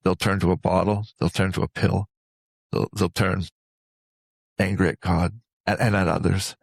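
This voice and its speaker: Man, interview host, low gravelly voice, earnest, deliberate pauses, reflective. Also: little pitch movement, no room sound. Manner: earnest, deliberate, pausing between short phrases.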